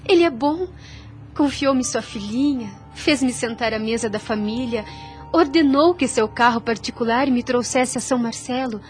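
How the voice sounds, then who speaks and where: A devout young radio drama heroine, played by a woman, speaks in a high voice with faint music behind her. She is earnest and self-sacrificing, with a formal, literary way of putting things. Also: moderate pace, natural voice. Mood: resolute, pious. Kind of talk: radio soap opera acting. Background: music under the voice.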